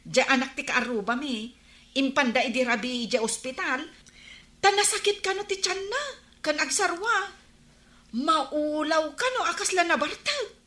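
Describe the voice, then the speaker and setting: A woman's voice: high-pitched, unhurried, bright, forceful tone. A woman playing a gossipy village woman who brings neighbourhood news, in an Ilocano radio comedy drama. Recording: roomy, echoing radio drama recording.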